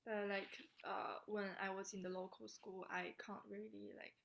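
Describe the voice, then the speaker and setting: A teenage girl from China, a student, answering questions in a soft, chatty way with many likes and ums. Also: mid-range voice, level tone, normal speed, creaky. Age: late teens. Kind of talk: casual answers on a video call.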